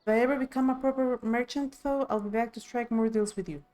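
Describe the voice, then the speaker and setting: A woman's voice: a little high, fairly even intonation, fast, warm tone. A woman reading video game dialogue aloud expressively on a live stream.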